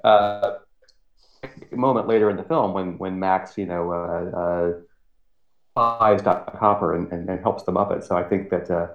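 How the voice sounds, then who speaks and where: Guy late 40s on a podcast, lowish voice, stumbles and repeats words, muffled roomy sound. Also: moderate pace. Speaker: podcast guest, freelance writer. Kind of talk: casual interview chat. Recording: dull, echoey remote-sounding recording.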